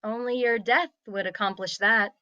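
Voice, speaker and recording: A woman's voice: on the high side, steady tone, moderate pace, pushed and bright, smooth, lo-fi sound. A woman playing a glum, lonely young princess nearly thirty in a fantasy table read, dramatic and self-pitying.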